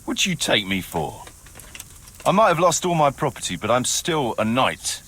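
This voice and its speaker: An actor playing an irascible, brusque, foul-mouthed knight in a video game cutscene, with music behind. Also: male voice, mid-range voice, very animated, normal speed, slightly rough, full character voice. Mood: defensive, wry. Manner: brusque, forceful.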